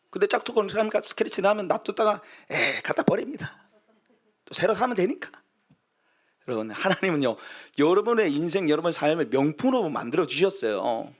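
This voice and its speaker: A man's voice: high-pitched, a bit bright. A man who is a pastor, preaching a sermon in a storytelling way, acting out quoted voices and building to emphatic exhortation.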